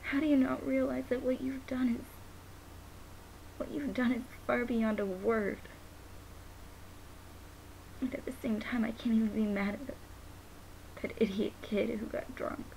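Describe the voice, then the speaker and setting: A young woman playing a grieving, guilt-ridden daughter in a dramatic monologue, halting and sometimes nearly whispering. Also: on the high side, little pitch movement, gravelly, darkish tone, poor audio. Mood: bitter hurt. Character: grieving, guilt-ridden daughter who lost her father. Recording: noticeable background hiss.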